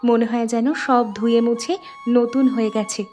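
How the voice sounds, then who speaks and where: A woman's voice: on the high side, fairly even intonation, moderate pace, mellow, faint music behind, close to an everyday voice. A woman playing a dreamy, artistic young village woman in a cartoon. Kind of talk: cartoon dialogue dub.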